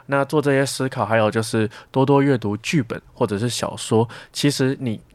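A man's voice: mid-range voice, normal speed, no room sound. A young man with a Taiwanese Mandarin accent, giving reflective, explanatory answers as a student being interviewed. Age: young adult.

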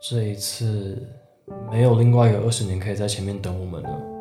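A man's voice: fairly low pitch, fairly even intonation, brisk, lightly acted. A young man acting in a radio drama as a hesitant, reserved man in his forties who is secretly in love. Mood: anxious, hesitant. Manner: hesitant, wary. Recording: roomy echo, guitar music behind.

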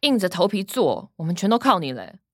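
A scheming, cynical big sister in a Taiwanese radio play. She is pushy and sardonic, with a lively, swinging delivery and a dry, close sound. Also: on the low side, a little fast, no room sound. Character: bossy, money-minded older sister, cynical and scheming. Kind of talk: radio drama acting.

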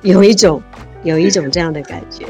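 A woman in her fifties from Taiwan, a guest in a casual interview, cheerful and quick to laugh. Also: fairly low pitch, mellow, faint music behind. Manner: cheerful, laughs often, quick bursts of enthusiasm.

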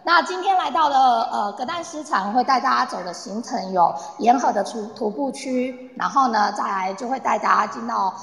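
Cheerful high-voiced tour guide played by a woman, reverberant. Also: moderate pace, creaky, hardly any pauses. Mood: cheerful, explanatory. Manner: chatty, addresses group, uses soft sentence-final particles. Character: cheerful, eager female tour guide in Poland. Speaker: tour guide in an audio drama. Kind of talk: amateur live radio-play performance, explanatory. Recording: echoey, long reverb, online audio-room recording.

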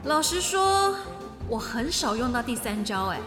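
Sassy self-assured bestie, super animated bright voice, comedy radio drama, echoey w music. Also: female voice, a little high, measured pace. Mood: smug, coaching. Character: bold, cocky young female best friend giving love advice. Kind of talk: modern urban comedy radio drama acting. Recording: roomy reverb, music behind.

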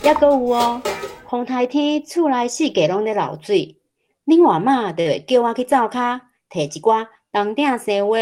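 Woman playing a lively, nostalgic Taiwanese mother. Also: on the high side, moderate pace, background noise, natural voice. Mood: wistful, delighted. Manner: chuckling storyteller. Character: fond nostalgic mother recalling her childhood. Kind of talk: children's radio drama acting.

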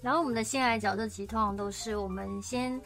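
A woman's voice: on the high side, fairly even intonation, quick pace, a bit bright. Giggly young woman chatting. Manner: giggly, chatty, laughs often. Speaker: radio drama co-host and voice actor. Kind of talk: casual chat. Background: music.

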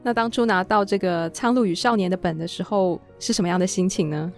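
A woman's voice: mid-range voice, fast. Female Taiwanese podcast host, mid-range voice, conversational interviewing with faint music. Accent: Taiwanese Mandarin. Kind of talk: casual interview chat.

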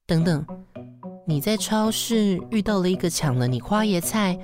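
An actress playing a sarcastic, long-suffering assistant in a radio drama, with a Taiwanese Mandarin accent and a teasing, weary manner. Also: female voice, on the low side, expressive, normal speed, music in the background, close to an everyday voice. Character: sardonic, long-suffering female assistant to a fashion director. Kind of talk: radio drama acting with inner monologue.

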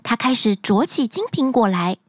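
A woman narrating a children's bedtime fairy tale in an expressive, sing-song storytelling manner, recorded close to the mic. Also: a little high, moderate pace, no echo.

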